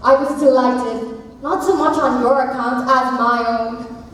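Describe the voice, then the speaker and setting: A woman plays a poor but proud widow on a school stage, speaking in formal, measured phrases. The voice is high, picked up from a distance in a reverberant hall with faint noise. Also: steady tone, close to an everyday voice. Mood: earnest, proud. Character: poor widowed woman, sober, proud, cautious old friend. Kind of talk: school stage play acting.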